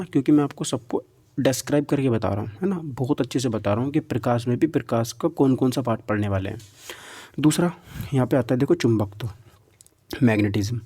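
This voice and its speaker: A man's voice: medium pitch, warm tone. A man giving an informal exam-prep lecture, instructive, mixing in English terms and adding frequent check-in tags. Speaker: exam-prep physics teacher. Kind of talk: informal lecture going through a syllabus.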